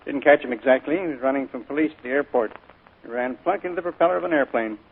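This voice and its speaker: A chatty small-town family man in a vintage radio drama. He speaks briskly with a husky, creaky voice. The sound is tinny, like an old 1940s broadcast. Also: mid-range voice. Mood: chatty, intrigued.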